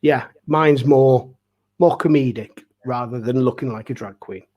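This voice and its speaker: A man's voice: mid-range voice, a little slow, muffled recording. A middle-aged man, telling anecdotes casually and self-deprecatingly as an interview guest. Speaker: pantomime dame performer, interview guest.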